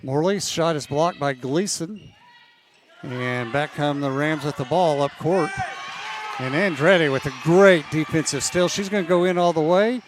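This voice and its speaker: A man calling basketball play-by-play in a slow, unhurried way, his voice a little high and going hoarse as he clears his throat between calls. Faint gym noise and bouncing balls sit behind him. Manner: steady, measured calls that rise on big plays. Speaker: play-by-play announcer.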